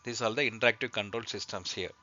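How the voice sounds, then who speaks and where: A man who is a non-native English speaker presents a slide lesson, partly reading, in a halting, hesitant way with repeated words. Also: lowish voice, steady tone, pushed and bright, lo-fi sound. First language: not English. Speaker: presenter of an aviation training lesson.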